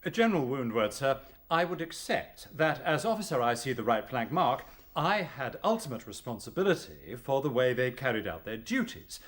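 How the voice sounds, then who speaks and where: A man's voice: mid-range voice, very animated, moderate pace, hardly any pauses, a bit bright. A man playing a deferential rabbit officer on trial in a radio drama, formal and pleading. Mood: anxious.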